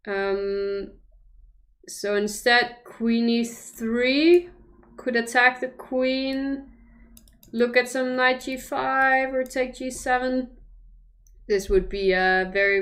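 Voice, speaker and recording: A woman's voice: a little high, fairly bright tone. A young woman from Denmark, commentating chess live on a stream and thinking aloud over the moves with hesitant restarts. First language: Danish.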